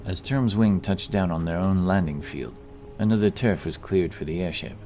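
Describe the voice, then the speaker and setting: A man's voice: sits low, normal speed, dark tone. A man reading scripted narration for a fantasy audiobook. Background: music.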